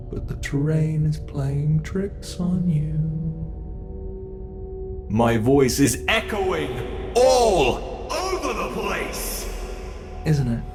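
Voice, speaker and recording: A British man voicing a teasing, predatory male monster who holds the listener captive, speaking slowly and mockingly in an ASMR horror roleplay. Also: medium pitch, darkish tone. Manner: slow, taunting, toying with the listener.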